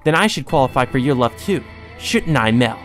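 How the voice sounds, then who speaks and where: A man's voice: mid-range voice, expressive, fairly bright tone, soft background music, close to an everyday voice. A man voicing a deranged, lovesick teenage sister from a visual novel game, with theatrical, mocking, coaxing delivery. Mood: bitter, lovesick. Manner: taunting, theatrical, drawn-out coaxing.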